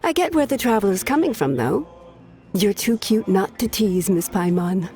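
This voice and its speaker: An actress playing a friendly, easygoing food-stall owner in a video game, jovial and welcoming. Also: female voice, medium pitch, animated, warm tone, natural voice. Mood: amused, reassuring. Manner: jovial, unhurried. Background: game music.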